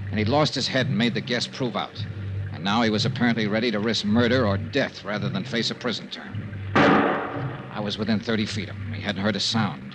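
A noisy old radio recording carries a male actor as a tough American private eye. His voice sits low, and he speaks in clipped, matter-of-fact phrases with a weary edge, in classic detective-serial style. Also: lightly acted. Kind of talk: 1950s radio detective drama acting.

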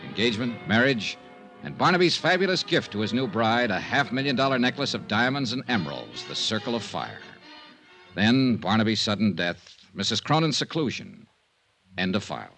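An actor playing a brisk, skeptical American insurance investigator in an old-time radio mystery, with punchy, clipped, dramatic phrasing. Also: male voice, on the low side, very animated, fairly bright tone. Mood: dramatic, storytelling. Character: brisk, skeptical male insurance investigator, hard-boiled and wry. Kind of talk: old-time radio detective acting and narration. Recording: old radio recording, music bed.

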